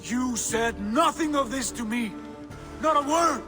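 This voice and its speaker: An actor playing a hot-headed, defiant Viking prince in a game, with an indignant, forceful delivery. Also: male voice, very high voice, expressive, measured pace, music in the background. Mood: outraged. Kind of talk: dramatic scripted game acting.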